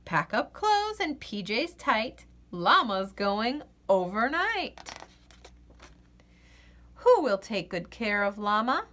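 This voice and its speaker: A woman reading a children's picture book aloud in an expressive, sing-song way. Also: on the high side, slow pace. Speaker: children's story reader.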